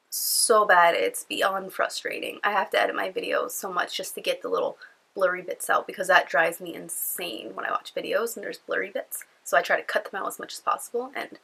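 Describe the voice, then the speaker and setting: Bright, nasal young woman vlogging. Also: medium pitch, vocal fry, slightly rough, poor audio. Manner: chatty, rambling, lots of fillers. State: congested from a cold. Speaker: beauty vlogger. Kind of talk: casual chatty vlog. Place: at home indoors.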